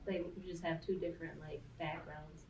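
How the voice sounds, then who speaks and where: A woman's voice: deep voice, flat delivery, normal speed, a little mellow. A young woman from the United States, talking thoughtfully and casually with many "um"s and "like"s. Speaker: guest. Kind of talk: casual conversation. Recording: roomy, distant with faint noise.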